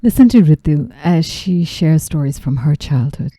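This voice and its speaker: A woman who grew up in Zambia, hosting a podcast and reading scripted introductions and personal stories in a calm, even way. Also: deep voice, moderate pace, dry recording. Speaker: podcast host. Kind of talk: scripted narration.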